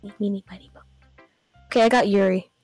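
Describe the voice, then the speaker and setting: A woman's voice: medium pitch, steady tone, fast talker, a little mellow, dry recording, faint music behind. Giggly young woman, dry close sound. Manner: giggly, teasing. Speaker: guest co-streamer. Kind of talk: casual chat and reading aloud.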